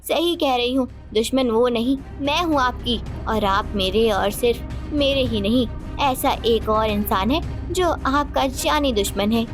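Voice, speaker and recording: A woman's voice: fairly high pitch, measured pace, hardly any pauses, lightly acted. A woman voicing a scheming, vengeful queen in a cartoon moral story, accusing and theatrical in manner. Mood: cold, mocking. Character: vengeful, scheming young queen, cold and mocking. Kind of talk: dramatic Hindi moral-story cartoon dialogue. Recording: loud music bed behind voice.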